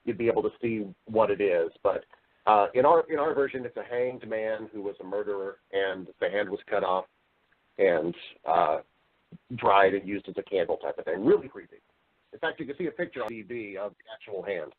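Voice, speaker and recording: A man's voice: lowish voice, a little fast. Man in a phone-like radio interview. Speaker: interview guest, voice-over artist and actor. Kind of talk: casual conversational interview, self-deprecating humour.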